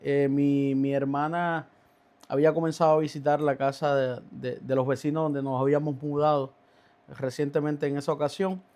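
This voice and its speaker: A man's voice: a little high, flat delivery, a little mellow. A man, a podcast guest telling his faith story with many halts and restarts. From Cuba. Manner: halting, many restarts and fillers. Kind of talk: testimony in a podcast interview.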